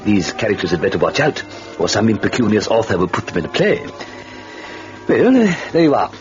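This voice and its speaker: A man's voice: on the low side, big pitch swings, a little fast. A man playing a mocking, droll devilish storyteller in a radio horror drama, with chuckling sardonic asides. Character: gleefully sinister, mocking male devil storyteller. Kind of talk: theatrical radio narration. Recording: muffled old radio recording with music.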